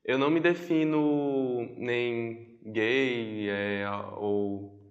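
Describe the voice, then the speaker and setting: A man's voice: mid-range voice, steady tone, extremely slow, highs cut off. A Brazilian man in his twenties sharing his views in a documentary interview. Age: 20s. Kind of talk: opinion and testimony in interview.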